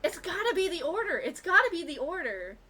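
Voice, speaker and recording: Excitable high-pitched woman streamer. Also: fairly quick. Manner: excitable, sweary, exclamatory. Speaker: streamer reacting to a game. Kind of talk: casual live commentary. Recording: game music behind.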